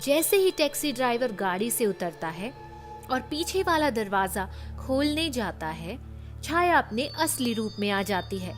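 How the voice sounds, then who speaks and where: A woman storyteller giving a dramatic, measured scripted narration of a horror story. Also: fairly high pitch, big pitch swings. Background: background music.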